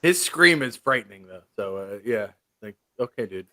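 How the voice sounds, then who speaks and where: A man's voice: lowish voice, expressive, normal speed, bright, forceful tone, no echo. A man co-hosting a casual movie podcast in a laid-back, joking way. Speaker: podcast co-host.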